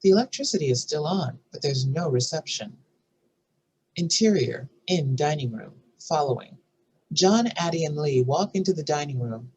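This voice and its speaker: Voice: medium pitch, lively intonation, moderate pace, darkish tone, poor audio. A narrator reading scene headings and stage directions from a screenplay in a scripted style. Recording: faint background noise and room ambience.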